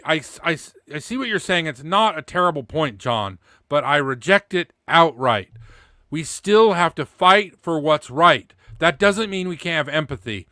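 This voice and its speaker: A middle-aged man speaks off the cuff in a forceful, slightly high voice. He is blunt and indignant and swears freely. The sound is dry and close, from a studio microphone. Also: dry recording. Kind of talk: opinionated unscripted commentary. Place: small indoor home studio. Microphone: close studio microphone with pop filter, headphones.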